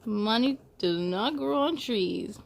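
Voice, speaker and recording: A woman reading a picture book aloud to children in an animated, playful way, adding chatty little asides. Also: fairly high pitch, takes it slowly, creaky. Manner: playful, giggly, animated storytelling delivery.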